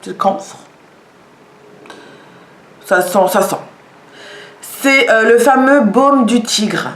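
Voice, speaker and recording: A woman's voice: a little high, takes it slowly, a bit bright. A woman showing products in a casual vlog, talking with many hesitations and fillers. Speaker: vlogger. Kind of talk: casual product show-and-tell. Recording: echoey room.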